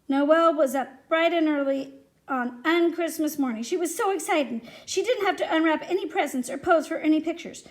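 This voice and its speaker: A woman reading a children's story aloud in an expressive, animated way, speaking directly to young listeners. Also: sits high, moderate pace.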